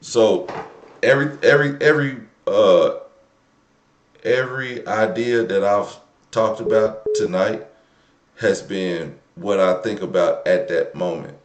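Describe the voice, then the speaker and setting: A man's voice: on the low side, a little slow. An American man hosting a live call-in show, talking off the cuff in casual slang and repeating himself as he thinks aloud. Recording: roomy, echoing room sound.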